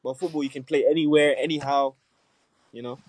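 A man's voice: fairly high pitch, steady tone, fairly quick, a little mellow, a little hiss or hum. A man from Nigeria, a musician guest, talking laid-back and laughing often. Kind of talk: casual interview chat.

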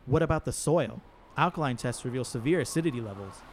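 An actor playing a concerned military botanist in an audio drama. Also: male voice, medium pitch, expressive, fast, slight background noise, close to an everyday voice.

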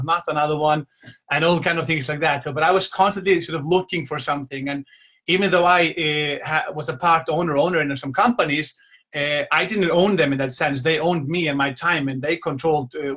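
A middle-aged man with an Icelandic accent talks informally to an online audience as a business coach. His voice is bright and forceful, pitched slightly higher than most men's. He tells stories in a loose, conversational way. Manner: conversational, rambling storytelling with repeated "you know". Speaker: business coach giving a motivational talk. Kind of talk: informal motivational talk to a live online audience. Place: at home, indoors.

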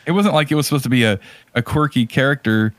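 A man's voice: mid-range voice, fast, a bit bright, dry recording. Rambling man chatting, dry close recording. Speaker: podcast co-host. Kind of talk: casual chat about movies.

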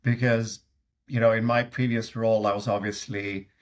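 A man's voice: on the low side, flat delivery, moderate pace. A middle-aged man from Sweden with a Swedish accent, a guest answering interview questions in a hesitant way, with many fillers and restarts. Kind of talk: conversational interview.

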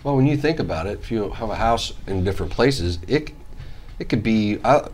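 A man's voice: lowish voice, lively intonation, normal speed, darkish tone, a little hiss or hum. Blunt man chiming in on morning show. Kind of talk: casual studio banter.

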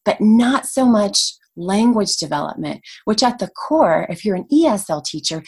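A woman's voice: mid-range voice, expressive, moderate pace, a little mellow, husky. A woman whose first language is Hungarian, a language education trainer explaining her experience in an enthusiastic, articulate way as a podcast guest. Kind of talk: conversational podcast interview.

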